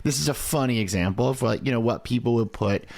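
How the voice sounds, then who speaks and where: A man's voice: medium pitch, quick pace, darkish tone. A man in his thirties hosting a podcast, explaining things in a conversational way. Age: 30s. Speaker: podcast host.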